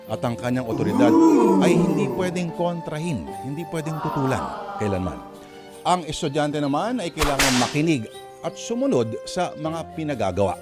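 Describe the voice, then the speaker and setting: A man hosting and narrating a radio drama in a theatrical, emphatic scripted style, pausing between phrases. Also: a little high, big pitch swings. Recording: music playing behind the voice.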